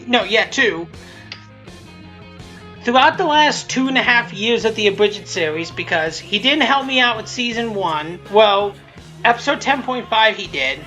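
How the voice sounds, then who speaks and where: Female commentator, bright edge, mid pitch, unscripted and blunt, repeats herself and restarts often, faint music underneath. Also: low-quality recording. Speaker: creator of a fan abridged series. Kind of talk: casual, unscripted episode commentary.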